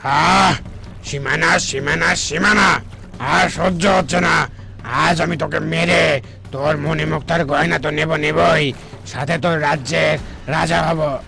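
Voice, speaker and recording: A man's voice: a little high, fairly even intonation, takes it slowly, vocal fry, bright, forceful tone, full character voice. Cartoon cobra king, played by a man: greedy, threatening, menacing male villain. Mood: furious. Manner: menacing, demanding.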